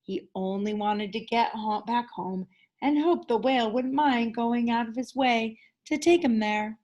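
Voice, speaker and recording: A woman, a teacher, narrating a children's picture book aloud in an expressive storytelling style. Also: a little high, a little slow, dull audio.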